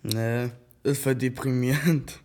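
A man's voice: medium pitch, steady tone, slow, darkish tone. A young man from Berlin, talking loosely and jokingly in casual slang as the subject of a documentary. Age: young adult. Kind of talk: casual street chat.

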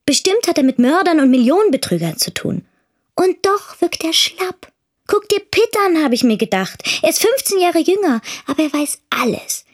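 A woman's voice: very high-pitched, big pitch swings, moderate pace. A woman playing a headstrong, mocking teenage daughter in an audio drama. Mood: disdainful, musing. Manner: mocking, dismissive. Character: disdainful, headstrong teenage daughter just out of school. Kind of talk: dramatic monologue in a German audio play.

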